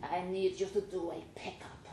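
A woman's voice: on the low side, little pitch movement, moderate pace, vocal fry, mellow. A woman reader voicing a dodgy male minicab boss in a story, curt and offhand. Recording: roomy pub recording with faint noise.

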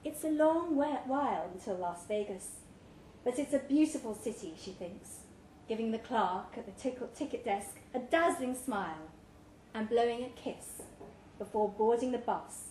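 A woman's voice: on the high side, lively intonation, moderate pace, mellow. A woman reading a short story aloud to a live event, expressively, giving life to the dialogue. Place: indoors, pub event room. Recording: roomy live pub recording, background noise.

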